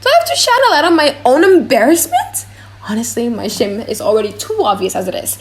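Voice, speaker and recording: Shy, lovestruck young heroine for an audiobook play, high-voiced played by a woman. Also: sing-song, a little fast. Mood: embarrassed. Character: shy young woman in love, disguised as boy. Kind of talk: audiobook drama reading.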